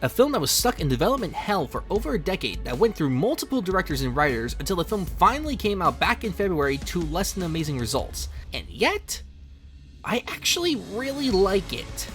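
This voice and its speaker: A man with a fairly high voice delivers a scripted video essay over music. He talks fast, in a conversational and emphatic way. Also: sing-song, fairly bright tone. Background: music under the voice.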